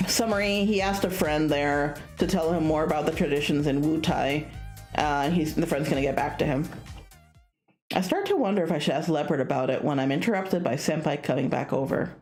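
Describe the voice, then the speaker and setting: A woman streamer reading a visual novel aloud in a casual, expressive narrating style. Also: low voice, moderate pace, soft background music.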